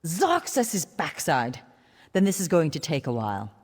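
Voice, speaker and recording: A scholarly fantasy-game mage, earnest and dryly wry. The voice sits low and moves expressively. It carries long hall reverb. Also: female voice, wildly swooping pitch, normal speed, a little mellow, breathy edge, full character voice. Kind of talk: fantasy video game dialogue.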